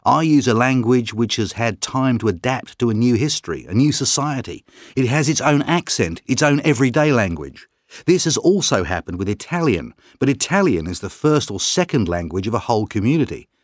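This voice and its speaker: Calm articulate writer guest, expressive delivery, dry studio read. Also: male voice, medium pitch, no room sound. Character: man, calm thoughtful writer and broadcaster. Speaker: interview guest. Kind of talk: scripted exam interview.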